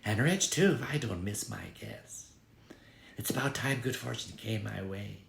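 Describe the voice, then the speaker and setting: A snooty young storybook bride-to-be, played by a man, vain and spiteful. The voice is pushed far up in pitch, affected and haughty, with some room echo around it. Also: measured pace, gravelly, a bit bright. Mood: smug, scheming.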